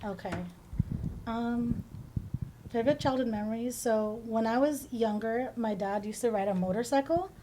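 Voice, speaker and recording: A woman's voice: on the high side, normal speed, noisy background. A woman from the Philippines chatting informally as she answers questions as a guest on a casual podcast.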